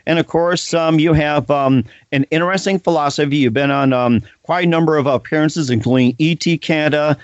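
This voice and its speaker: Man, fairly high voice, talk show host, enthusiastic, filler-heavy, dry dull audio. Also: a little fast, hardly any pauses, dry recording. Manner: enthusiastic, showman-like, with many fillers. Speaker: podcast host. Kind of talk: interview talk show hosting.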